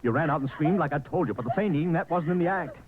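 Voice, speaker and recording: A man's voice: a little high, fast, lightly acted. An actor playing a brash, scheming publicity man in 1950s radio drama, slangy, snappy and commanding. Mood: grim, commanding. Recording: tinny, noisy old 1950s radio recording.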